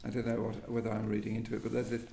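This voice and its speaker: A man's voice: lowish voice, monotone, rapid-fire, gravelly, warm tone. A man hosting a literary discussion, speaking reflectively in tentative, thoughtful phrases that often end in a questioning tag. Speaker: host of a poetry event. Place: echoey indoor venue at a live event. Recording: roomy echo, faint background noise.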